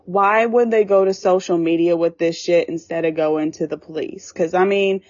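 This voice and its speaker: Woman in her twenties, podcast co-host, blunt, swears casually, low-pitched, dry lo-fi audio. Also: steady tone, normal speed, darkish tone, no echo. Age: 20s. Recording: dull lo-fi sound.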